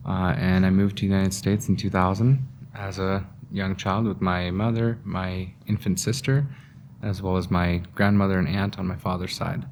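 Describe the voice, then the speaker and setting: A young man from Afghanistan giving a personal account in a documentary interview. He speaks slowly and haltingly, with pauses and restarts. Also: sits low, flat delivery, darkish tone, slight background noise.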